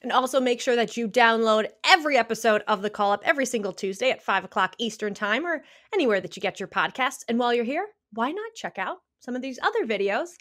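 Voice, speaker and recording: A woman in her thirties co-hosts a podcast over a video call, asking upbeat questions. Her voice is a bit high and swoops a lot. She sounds lively. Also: fast, hardly any pauses. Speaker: podcast co-host. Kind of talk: casual chat interview.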